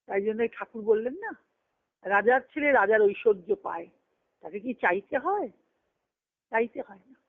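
A woman giving an earnest, emphatic devotional discourse over a conference phone line, reciting scripture and verse and pausing between short phrases. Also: a little high, animated. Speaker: spiritual discourse speaker. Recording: tinny band-limited conference phone call.

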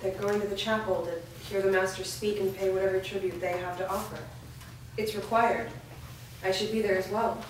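A woman's voice: mid-range voice, fairly even intonation, normal speed, lightly acted. Woman playing a wary villager, very echoey. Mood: subdued. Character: wary, fearful young village woman, defensive and bitter. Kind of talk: staged play reading. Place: large bare indoor room, staged reading. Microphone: distant camera. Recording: echoey room from far off, noisy.

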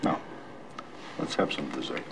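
An actor playing a menacing older mob boss who speaks slowly and deliberately, with quiet threat. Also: male voice, noisy background, lightly acted. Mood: coaxing. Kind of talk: film dialogue. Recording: film soundtrack with music underneath.

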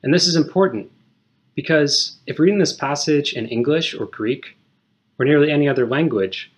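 A man in his thirties giving a measured, scripted short sermon in a small indoor room. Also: on the high side. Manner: measured, explanatory, reads scripture aloud.

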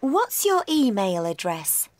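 A woman voicing an adult teacher at a school club desk, asking questions slowly and very clearly in a scripted textbook dialogue. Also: mid-range voice, very animated, slight background noise. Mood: polite. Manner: slow, clearly enunciated questions. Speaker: textbook audio dialogue reader.

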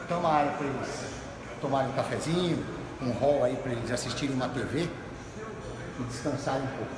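Fast-talking man giving a guided tour. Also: mid-range voice, very noisy. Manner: guiding, explanatory, addresses viewers directly. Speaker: course instructor giving a tour. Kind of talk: live walkthrough video. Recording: roomy echo, distant mic, loud music behind.

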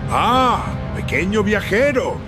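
A sly, ancient cartoon sorcerer of the caves. He speaks very slowly and theatrically, with a swooping pitch. Music plays behind him. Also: sing-song, heavily acted. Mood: sly, inviting. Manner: slow, theatrical, slyly coaxing. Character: scheming ancient cave master offering sinister magic bargains. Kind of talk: animated cartoon acting.

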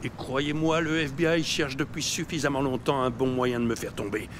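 An actor playing a menacing mob don in an audio series, formal, measured and coldly threatening. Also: male voice, medium pitch, fairly even intonation, noisy background, natural voice. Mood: aggrieved, cold. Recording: music behind the voice.

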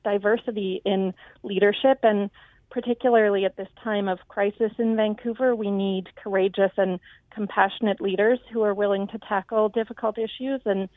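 A woman answering in a live radio interview as a candidate, speaking thoughtfully with frequent pauses and ums. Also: mid-range voice, steady tone, no room sound. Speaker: city council candidate. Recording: tinny phone-like line.

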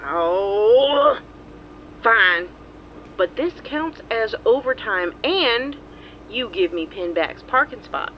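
An actress playing a wry, put-upon, sassy sheriff's sweetheart with dry delivery over the phone in an audio drama. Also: female voice, fairly high pitch, expressive, takes it slowly. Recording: dull phone line, faint noise.

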